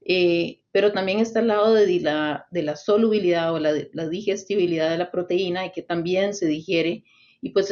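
Costa Rican woman in her forties, low-pitched, a touch dull and lo-fi, measured expert interviewee. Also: darkish tone. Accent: Costa Rican Spanish. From Costa Rica. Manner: thoughtful, hesitant restarts and fillers. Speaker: swine nutrition consultant, guest. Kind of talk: interview answers.

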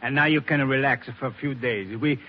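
A man playing an excitable, friendly helper with an effusive manner in a 1940s radio drama. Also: mid-range voice, fairly quick, natural voice. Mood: jovial, eager. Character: excitable, friendly Italian man, loyal helper and food lover. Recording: muffled, noisy 1940s radio recording.

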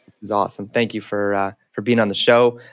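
A man hosting a podcast, upbeat and quick to praise his guest in casual chat. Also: lowish voice, little pitch movement, no echo. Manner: enthusiastic, repeats "that's amazing". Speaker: podcast host.

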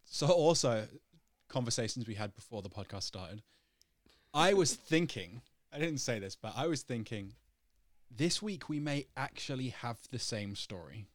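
A young man chatting on a podcast, his voice sitting in an ordinary middle pitch. He jokes, laughs often and stretches out his points. The sound is dry and close to the mic. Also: expressive, no echo. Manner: joking, laughs often, drawn-out explanations. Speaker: podcast co-host. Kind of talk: casual chat.

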